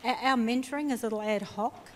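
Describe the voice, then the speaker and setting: A woman executive speaking on a conference panel in a measured, businesslike way. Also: on the high side. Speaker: panelist, chief information officer. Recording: long hall reverb through PA.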